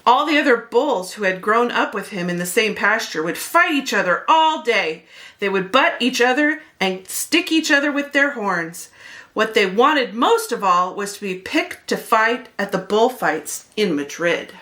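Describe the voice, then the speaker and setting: A woman's voice: fairly high pitch, animated, measured pace, pushed and bright. A woman narrating a children's picture book expressively, as a read-aloud host speaking to young listeners.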